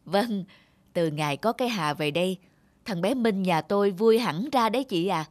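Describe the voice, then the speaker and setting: A woman voicing characters in an animated moral story for children. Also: medium pitch, moderate pace, no echo, close to an everyday voice. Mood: chatty. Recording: music under the dialogue.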